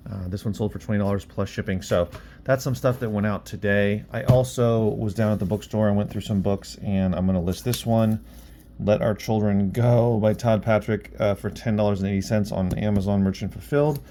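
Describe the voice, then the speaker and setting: A man's voice: lowish voice, fairly even intonation, a little fast, mellow. A man chatting casually in a vlog about his own work, offhand and thinking aloud as he goes. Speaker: online reseller and bookstore owner, vlog host. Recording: clear background noise.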